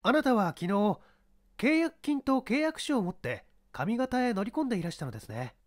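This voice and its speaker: A courteous police inspector in a radio mystery, acted by a man. He probes politely with widely moving, expressive intonation. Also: high voice, normal speed, pitched above the performer's own voice. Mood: probing. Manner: courteous, inquisitive. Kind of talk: radio-drama style mystery reading.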